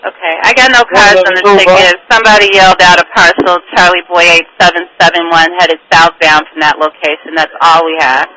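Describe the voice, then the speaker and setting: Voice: mid-range voice, fairly even intonation, brisk, few pauses, a crowd in the background. A police radio dispatcher speaking in a clipped, procedural way and repeating details over a two-way radio. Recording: noisy police scanner radio.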